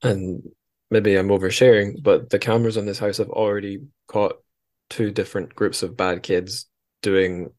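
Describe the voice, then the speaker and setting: A man's voice: fairly low pitch, level tone, moderate pace, a little mellow. A man hosting a podcast in a casual book discussion, chatty and wry, rambling as he goes. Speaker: podcast host.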